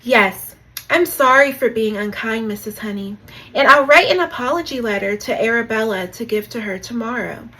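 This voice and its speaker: Woman playing young owl schoolgirl in a children's tale, high-ish bright voice, earnest, well-mannered, remorseful. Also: expressive, normal speed, slight background noise, rough recording. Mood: apologetic. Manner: earnest, polite.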